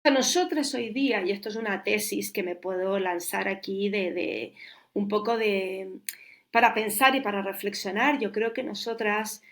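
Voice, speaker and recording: A woman's voice: mid-range voice, normal speed, a bit bright. A Chilean curator in her forties, talking in a reflective interview and thinking aloud with many restarts. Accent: Chilean Spanish. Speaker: curator and guest.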